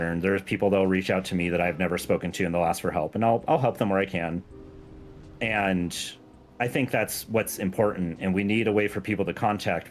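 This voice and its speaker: A man's voice: sits low, slight background noise. A man talking casually and earnestly on a gaming stream as a guest, informal and self-effacing. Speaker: guest, game mod creator.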